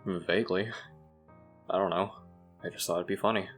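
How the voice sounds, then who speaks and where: A man playing a deadpan, sarcastic teenager with a laconic, dryly teasing delivery in an audio drama. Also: low-pitched, brisk, soft background music, close to an everyday voice. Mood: dry, sardonic.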